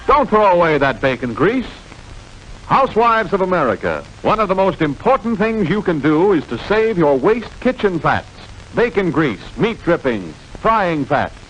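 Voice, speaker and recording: A man's voice: high-pitched, fairly bright tone, a little hiss or hum, heavily acted, poor audio. A man playing an earnest, emphatic wartime propaganda narrator in a 1940s newsreel style, on an old film soundtrack. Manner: emphatic, declamatory.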